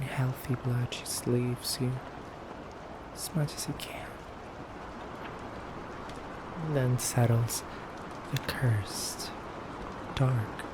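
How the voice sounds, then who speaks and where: Man playing a slow, soft-spoken vampire interrogator. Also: medium pitch, background noise, close to an everyday voice. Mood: probing, solemn. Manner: soft, deliberate, pausing, often dropping to a whisper. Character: beautiful femboy vampire interrogator, controlled, stern yet courteous. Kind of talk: ASMR roleplay.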